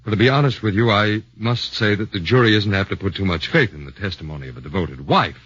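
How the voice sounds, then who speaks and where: An actor playing an earnest, cautious defence lawyer, formal and deliberate, in a 1940s radio drama. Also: male voice, on the low side, a bit bright, slightly rough, dry recording. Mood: measured, uneasy. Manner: formal, deliberate, hesitant pauses. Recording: dull 1940s radio recording.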